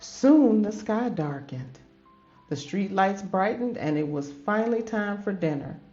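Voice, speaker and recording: A woman reading a children's story aloud as host, with dramatic, drawn-out phrasing in a grandmotherly manner. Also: mid-range voice, expressive, warm tone. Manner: addresses listeners as babies, grandmotherly. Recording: dull lo-fi audio with music behind. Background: background music.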